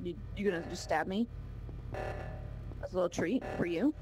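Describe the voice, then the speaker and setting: A man's voice: sits high, normal speed, music in the background, rough recording. A man teasing a friend playfully during a game. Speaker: fellow player. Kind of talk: casual gaming chat.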